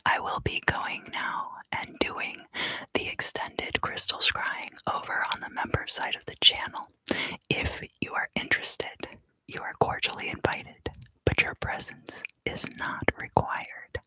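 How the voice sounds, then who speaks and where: A woman giving an ASMR card reading for a live stream in a soft, unhurried, often fully whispered voice, close to the microphone. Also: low-quality recording. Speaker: ASMR tarot reader and live stream host.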